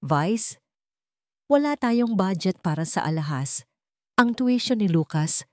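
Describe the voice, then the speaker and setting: Romance audio drama: woman reader playing practical, loving young sweetheart, emotional, animated pitch. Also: slow pace, no echo, clean studio sound. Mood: worried.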